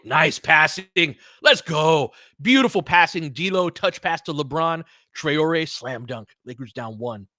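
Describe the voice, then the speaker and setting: A man's voice: mid-range voice, pushed and bright, dry recording, clean studio sound. A man giving casual, conversational basketball play-by-play and analysis, with short punchy calls and slangy language.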